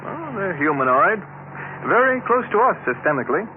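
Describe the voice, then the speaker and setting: An actor playing a deferential, tactful chauffeur and office aide, polite and careful, in 1950s radio drama style. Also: male voice, high voice, sing-song, normal speed. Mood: friendly, respectful. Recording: tinny, noisy old radio recording.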